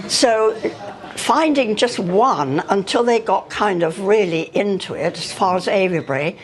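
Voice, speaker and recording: An older woman giving an unscripted talk of her recollections, pausing often and speaking hesitantly between phrases. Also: medium pitch, crowd noise. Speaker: speaker on earth energies and dowsing. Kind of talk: unscripted spoken recollection to an audience.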